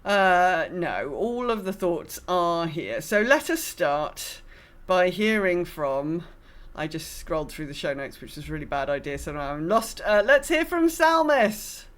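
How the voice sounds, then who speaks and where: A woman's voice: fairly low pitch, very animated, measured pace. A woman co-hosting a podcast in casual, conversational chat. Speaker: podcast host.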